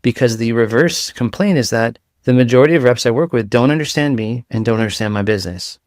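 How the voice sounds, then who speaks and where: A man's voice: medium pitch, no echo. Man with a light voice, dry sound. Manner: animated, rapid-fire analogies, rambling restarts. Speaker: guest. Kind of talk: casual interview chat.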